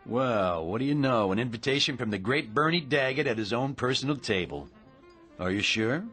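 An actor playing a calm, sly stranger in a radio drama with music underneath. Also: male voice, medium pitch, normal speed, natural voice. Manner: sly, dry, teasing. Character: calm mysterious man, sly and confident.

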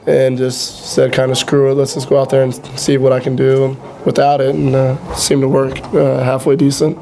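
A man's voice: mid-range voice, completely flat delivery, normal speed, gravelly, warm tone, slight background noise, rough recording. A young man answering reporters at a postgame press conference as a college quarterback. He talks in a laid-back, blunt, self-critical way and is losing his voice. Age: young adult.

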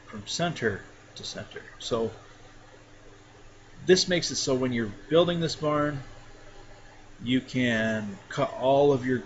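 A man casually talking through a software tutorial step by step, with frequent fillers. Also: medium pitch. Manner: relaxed, talks through each step with fillers. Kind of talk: casual screen-recorded tutorial. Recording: dull lo-fi mic with clear background noise.

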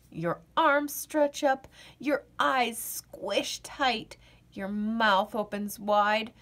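Slightly high, unhurried woman reading a picture book aloud to children, playful and expressive. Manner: playful and expressive, acting out yawns.

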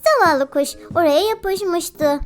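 Super high childlike voice doing kids game commentary, slow n giggly, cooing at the animals, music behind. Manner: exclamatory, cooing baby talk to the animals. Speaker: host of a children's game video. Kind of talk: playful children's gameplay commentary.

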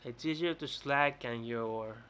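A man's voice: mid-range voice, unhurried, fairly bright tone, a little hiss or hum. Young Greek-accented man ranting to webcam. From Greece. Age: young adult. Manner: rambling, repeats and restarts words. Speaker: vlogger. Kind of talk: casual rant to camera. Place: bright indoor room. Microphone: webcam close up.

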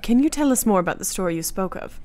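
A woman's voice: medium pitch, expressive, fast, warm tone, close to an everyday voice. A woman playing a polite, composed, inquisitive young wife in a radio play.

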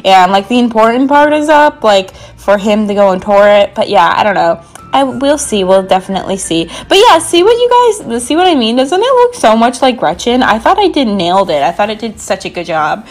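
A woman's voice: mid-range voice, lively intonation, fairly quick. A young woman gaming creator doing a casual, bubbly, rambling voiceover with a slightly sore throat. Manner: bubbly, rambling, frequent "like" and asides. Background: background music.

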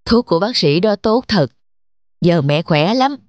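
A woman's voice: fairly low pitch, animated, a little slow, no echo, highs cut off. Plaintive old village mother. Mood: grateful. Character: frail, worried, kindly elderly village mother. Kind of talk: cartoon dubbing.

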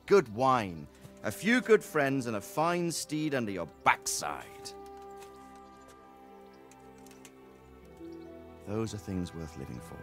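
An actor playing a middle-aged medieval knight talking to his son in a video game, measured and reflective. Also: on the low side, sing-song, full character voice. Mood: fatherly. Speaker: knight lord, father of the hero. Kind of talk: video game cutscene dialogue. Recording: soft game music behind.

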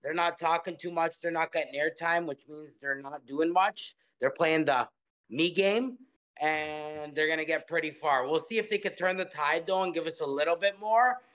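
A man guest on a casual video call, giving measured opinions and advice. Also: a little high, fairly bright tone. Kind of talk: casual chat. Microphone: webcam on video call.

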